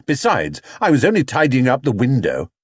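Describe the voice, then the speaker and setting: Guy doing a little storybook bear, innocent, protesting, indignant, bouncy pitch. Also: wildly swooping pitch, moderate pace, breathy edge, no room sound, studio quality. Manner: hot, protesting, polite.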